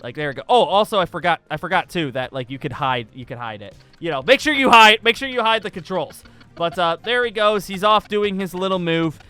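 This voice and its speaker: A man's voice: high voice, animated, a little fast, bright, forceful tone, clean tone, no echo, faint music behind. A young man giving a casual, rambling gaming tutorial, repeating phrases for emphasis. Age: young adult. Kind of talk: casual off-the-cuff tutorial commentary over gameplay. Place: indoor room at a computer desk.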